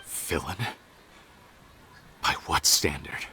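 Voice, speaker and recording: An actor playing a bitter, defiant criminal researcher in a video game, with a sneering, theatrical delivery. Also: male voice, a bass voice, very slow, sharp tone, slight background noise, full character voice. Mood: scornful. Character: bitter defiant male criminal researcher, scornful and self-justifying. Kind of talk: dramatic video game voice acting.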